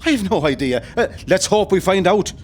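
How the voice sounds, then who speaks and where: Man as calm rebel officer, faint rumble. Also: fairly quick. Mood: calm, authoritative. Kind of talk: audio play acting.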